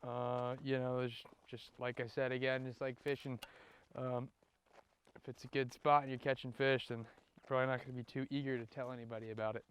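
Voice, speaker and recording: Clear, smooth-voiced man chatting casually. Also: mid-range voice, level tone, fairly quick, rough recording. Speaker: fossil hunter. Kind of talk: casual interview chat.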